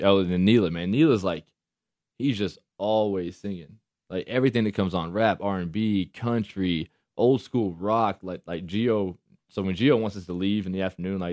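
A man with a deep voice, chatting casually as a football player guest and laughing often. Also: darkish tone, no echo, studio quality. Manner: laid-back, laughs often. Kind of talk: casual chat.

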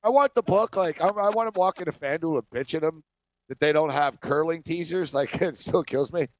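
Laughing sports talk host, dull dry sound. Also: male voice, a little high, a little fast, no echo. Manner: laughs often, stumbles over words. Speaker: sports betting show host.

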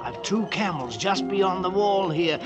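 An actor playing a bold adventurer disguised as a mad priest in an old radio drama, with a theatrical, flattering manner. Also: male voice, high-pitched, measured pace, over loud music. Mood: sly, confident. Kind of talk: 1940s radio acting.

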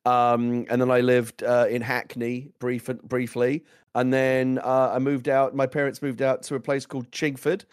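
A man from East London whose accent has softened after years in America, chatting casually with a dry, joking manner. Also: mid-range voice, monotone, normal speed, few pauses, clear, resonant tone, no room sound. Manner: wry, joking. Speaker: co-host. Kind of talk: casual chat.

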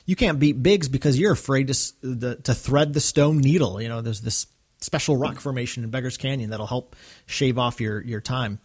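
A man's voice: medium pitch, moderate pace, a little mellow, husky. A man co-hosting a podcast, recapping casually with a fan's enthusiasm. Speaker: podcast co-host.

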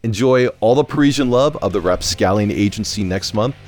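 A man's voice: fairly low pitch, a little fast, darkish tone. A man who hosts a podcast, giving an upbeat, scripted announcement to listeners with enthusiasm. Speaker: podcast creator and host.

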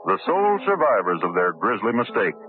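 A male narrator telling a story in a measured, storytelling manner for a radio drama. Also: lowish voice, huge pitch swings. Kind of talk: scripted narration. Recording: tinny old radio broadcast with music.

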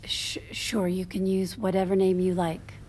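An actress playing a gentle woman who records intimate confessions in an audio drama, soft-spoken, careful and reassuring. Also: fairly low pitch, completely flat delivery, moderate pace, a little hiss or hum, natural voice. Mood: hushed, kind. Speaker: interviewer.

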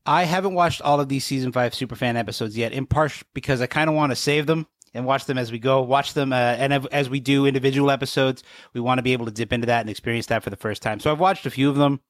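A man's voice: medium pitch, steady tone, quick pace, no echo, studio quality. A man co-hosting a podcast in casual, conversational chat. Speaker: podcast co-host.

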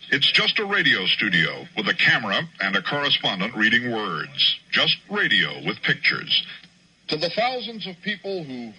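A man's voice: mid-range voice, bright, forceful tone, rough recording. A man delivering scripted narration as a television news correspondent.

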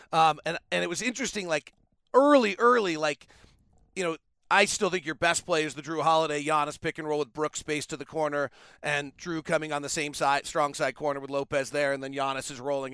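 A man hosting a sports podcast, talking basketball and reading sponsor spots in an animated, conversational way with rambling asides. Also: a little high, smooth, no echo. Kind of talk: casual basketball analysis and conversational ad reads.

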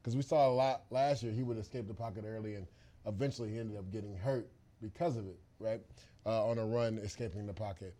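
Fast-talking, mellow male football analyst. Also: fairly low pitch, steady tone. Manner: emphatic, opinionated, conversational. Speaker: football podcast co-host and analyst. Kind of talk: casual sports analysis.

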